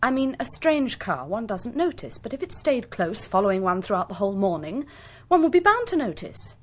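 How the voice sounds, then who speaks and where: A haughty young Englishwoman in a radio drama. She is indignant and clipped, her slightly husky, mid-pitched voice rising and falling sharply as she defends herself. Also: big pitch swings, moderate pace. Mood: defensive. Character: haughty, indignant well-bred young female tourist.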